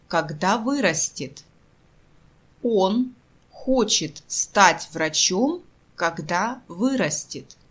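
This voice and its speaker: A woman with a medium-pitched, slightly husky voice reads short practice sentences for language learners. She goes extremely slowly, separating phrases with deliberate pauses and pronouncing each one with care, as a teacher would in a drill.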